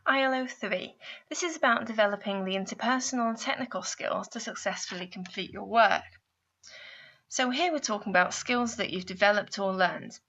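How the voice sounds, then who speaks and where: A woman delivers scripted instructional narration in a bright, forward voice at a medium pitch. She explains things methodically and addresses the listener directly. The recording sounds somewhat dull and lo-fi. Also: moderate pace. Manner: clear, explanatory, addressing the listener with guiding questions. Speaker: university placement adviser narrating a guidance video.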